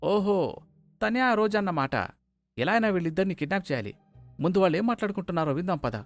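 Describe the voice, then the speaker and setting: Man voicing a high, vengeful scheming gangster. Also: lively intonation, fast, dry recording, faint music behind. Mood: plotting. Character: scheming vengeful gangster brother, male storybook villain.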